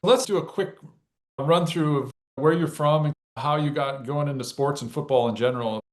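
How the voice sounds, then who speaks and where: A man's voice: fairly high pitch, moderate pace. A man hosting a podcast, welcoming his guest and asking questions in an upbeat, conversational way. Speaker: podcast host. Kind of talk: interview hosting.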